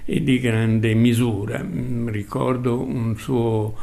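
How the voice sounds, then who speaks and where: An older man reminiscing as a radio host, speaking in a halting, reflective way with repetitions and long hesitations. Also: mid-range voice, level tone, vocal fry, mellow. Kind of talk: spoken reminiscence, literary commentary.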